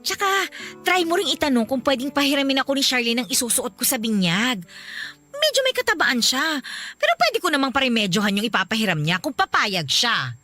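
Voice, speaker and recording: A woman's voice: high voice, big pitch swings, brisk, bright, forceful tone, no room sound, soft background music, exaggerated voice. A woman playing a talkative, brazen, teasing wife in a radio drama, chattering and needling with exclamations. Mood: scheming, pushy.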